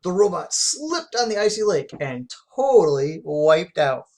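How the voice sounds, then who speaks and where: Expressive storybook reader, mid-pitched voice. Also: unhurried. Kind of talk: children's storybook read-aloud.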